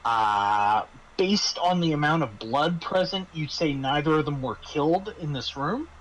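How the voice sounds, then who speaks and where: A man's voice: a little high, animated, measured pace, a bit bright, rough recording. A man running a tabletop roleplaying game as game master, narrating off the cuff and often repeating and restarting his phrases. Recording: faint background noise.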